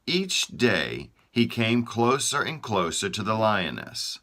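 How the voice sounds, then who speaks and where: Guy reading a kids book aloud, low bright voice, slow and lively. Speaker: storybook reader. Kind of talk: read-aloud storytelling.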